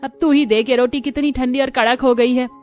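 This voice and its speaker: A woman's voice: high-pitched, fairly even intonation, a little fast, darkish tone, soft background music, natural voice. A woman playing a fussy, complaining female relative in a moral story. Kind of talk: acted dialogue in a moral story.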